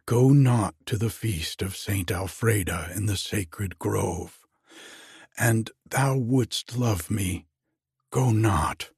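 A man's voice: on the low side, takes it slowly, a little mellow, slightly rough, dry recording, studio quality, heavily acted. A man voicing an anguished young lover in a storybook tale, pleading fearfully in archaic, tremulous speech.

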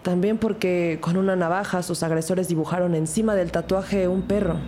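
A woman playing a young, earnest detective who narrates and questions in a measured, uneasy way in an audio drama. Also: on the low side, steady tone, noisy background, close to an everyday voice. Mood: serious, inquiring. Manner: measured, serious storytelling. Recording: loud music under the voice.

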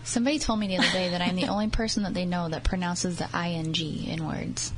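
A woman co-hosting a radio show, playful and quick to laugh in casual banter. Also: fairly low pitch, fairly even intonation, very noisy. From Boston area. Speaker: guest co-host.

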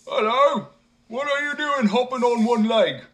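A man voicing an eager, naive young peasant simpleton who keeps calling out loudly, reading a storybook aloud. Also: fairly high pitch, big pitch swings, normal speed, voice pushed up.

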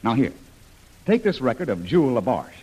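A husky, commanding mission leader in a vintage radio adventure. He gives instructions briskly, with a pitch that moves around a lot. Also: huge pitch swings, a little mellow, rough recording. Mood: brisk, instructing. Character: brisk, commanding leader briefing an undercover team. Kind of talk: old-time radio adventure acting.

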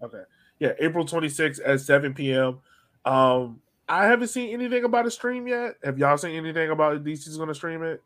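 A man with a mid-pitched voice hosts a casual sports podcast. He talks like a fan among friends, working out his thoughts aloud. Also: animated, brisk, fairly bright tone.

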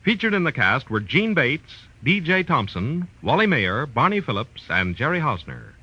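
A man's voice: medium pitch, sing-song, moderate pace, sharp tone, slightly rough. A man announcing credits in formal, declamatory 1940s radio style. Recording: dull old radio broadcast recording.

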